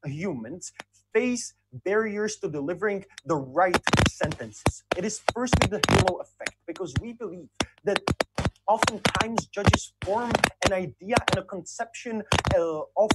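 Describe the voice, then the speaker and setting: Debater, formal structured speech, loud background noise, video call audio. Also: mid-range voice, animated, a little slow. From Czech Republic. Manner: structured, formal, signposting points.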